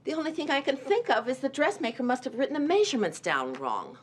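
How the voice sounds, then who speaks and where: A woman's voice: high voice, sing-song, quick pace, a little hiss or hum. An actress playing an imperious, exacting society mother with a clipped, commanding manner. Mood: exasperated. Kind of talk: TV drama dialogue.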